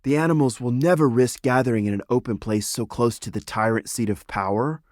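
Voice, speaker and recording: A man's voice: medium pitch, fairly even intonation, fairly quick, a little mellow, no room sound, clean studio sound, voice pushed up, natural voice. A man voicing a stern, pessimistic animal father and counselor in a storybook, grave and dismissive. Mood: stern objection.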